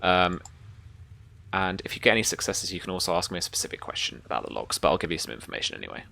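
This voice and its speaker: A man improvising tabletop game narration as game master, with halting, hesitant phrasing. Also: deep voice, very animated, gravelly, sharp tone.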